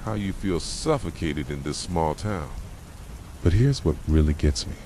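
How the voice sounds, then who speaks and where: Guy playing a jealous clingy boyfriend in asmr roleplay, super low soft voice, accusing, intense, storm noise in the back. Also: lively intonation, measured pace. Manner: accusing, intense, dramatic direct address. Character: possessive, jealous yandere boyfriend confronting his partner. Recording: rain and thunder noise behind. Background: rain and thunderstorm ambience.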